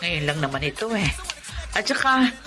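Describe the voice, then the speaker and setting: Woman doing affectionate mother, radio drama, bright voice, faint music. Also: on the low side, big pitch swings, normal speed. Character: loving middle-aged mother, teasing and warm. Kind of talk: classic radio drama acting.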